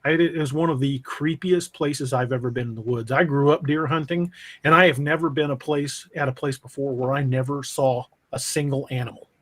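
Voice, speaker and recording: A man's voice: medium pitch, fairly even intonation, brisk, fairly bright tone, no room sound. An American man hosting a casual livestream podcast in an informal, conversational way. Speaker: podcast host.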